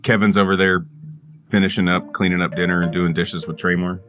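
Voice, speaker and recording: A man's voice: low voice, little pitch movement, brisk, a bit bright, soft background music. A man playing a tabletop game, chatting casually with dry, jokey humor.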